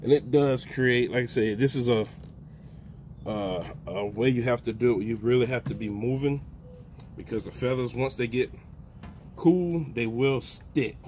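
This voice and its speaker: An older man giving a relaxed, folksy how-to narration outdoors in a backyard while he works with his hands. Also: medium pitch, unhurried, slight background noise. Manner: folksy, relaxed, talks while plucking, calls out "baby". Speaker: backyard homesteader. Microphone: phone camera held close, hands-on filming.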